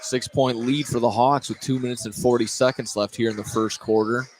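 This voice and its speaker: A man doing live play-by-play basketball commentary, halting at times and correcting himself mid-call. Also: medium pitch, fairly even intonation, a little hiss or hum. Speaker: play-by-play announcer. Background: gym ambience with bouncing basketballs.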